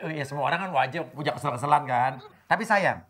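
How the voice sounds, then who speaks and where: A man's voice: fairly high pitch, fast talker, very noisy. A man hosting a comedy variety show, teasing and questioning guests with a laughing, playful manner. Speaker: host.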